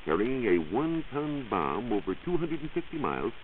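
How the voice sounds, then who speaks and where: An American man giving a measured, explanatory science talk on 1940s radio as a reporter. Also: mid-range voice, big pitch swings, phone-quality sound. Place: radio studio. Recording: hissy band-limited 1940s radio recording.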